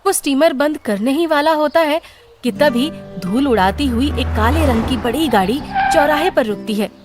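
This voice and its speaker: A woman narrates a scripted cartoon story. Her voice is clearly high in pitch, and music plays noticeably behind her. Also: expressive, moderate pace.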